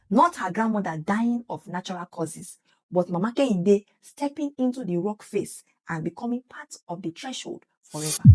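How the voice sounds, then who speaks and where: A woman's voice: mid-range voice, mellow, slightly rough, dry recording, low-quality recording. A woman narrating a scripted story dramatically, emphatic and speaking straight to the listener.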